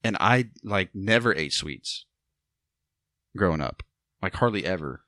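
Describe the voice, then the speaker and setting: A man's voice: low-pitched, lively intonation, a little slow, pushed and bright, dry recording, clean studio sound. A man chatting casually as a podcast co-host, joking and interjecting. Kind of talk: casual chat.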